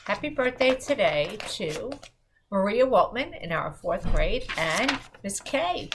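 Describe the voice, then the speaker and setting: A woman's voice: medium pitch, big pitch swings, slow pace, background noise. A middle-aged woman hosting school morning announcements, speaking cheerfully to children from a seated spot in a school room. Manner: cheerful, addresses children, reads clues aloud.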